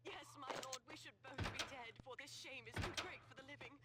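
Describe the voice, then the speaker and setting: Anguished noblewoman, female actor, high register, formal soap melodrama, heavy noise on playback. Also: fairly quick, close to an everyday voice. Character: anguished noble lady in a soap-opera parody. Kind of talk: melodramatic period soap acting.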